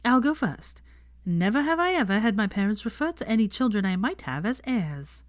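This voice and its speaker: A woman voicing an excitable, sassy and blunt young witch in a story reading. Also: medium pitch, moderate pace, no echo, studio quality, voice pushed up, lightly acted. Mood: excited.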